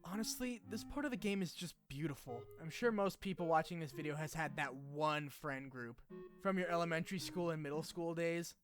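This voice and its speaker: A man with a slightly high voice delivers a scripted game retrospective over a soft music bed. He keeps it informal and opinionated, dropping casual asides and swearing freely. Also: brisk. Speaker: video essayist. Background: background music.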